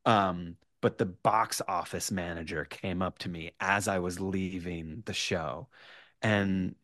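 A man telling an animated anecdote as a podcast guest, excitable and self-deprecating, with casual swearing. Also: low voice, takes it slowly, dry recording, clean studio sound. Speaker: podcast guest, writer and storyteller.

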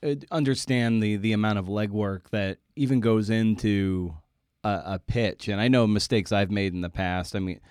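A man hosting a podcast interview, speaking conversationally and haltingly as he rephrases and builds toward his point. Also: on the low side, a little mellow, clear, resonant tone, no echo, clean studio sound.

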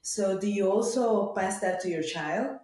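A woman interviewer asking slow, measured follow-up questions. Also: mid-range voice, darkish tone. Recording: very echoey room.

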